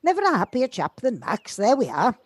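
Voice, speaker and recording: A woman's voice: a little high, wildly swooping pitch, a little fast. A woman playing a kindly, chatty and slightly reserved neighbour in an amateur audio drama, speaking politely. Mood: chatty, approving. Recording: home-recorded on a personal device.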